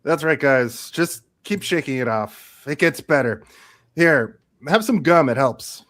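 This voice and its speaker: A man's voice: on the high side, lively intonation, a little slow, fairly bright tone. A man playing a confident, upbeat spaceship commander in a radio theatre read, breezy and encouraging. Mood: upbeat, reassuring. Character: upbeat, confident male spaceship commander, eager tour guide.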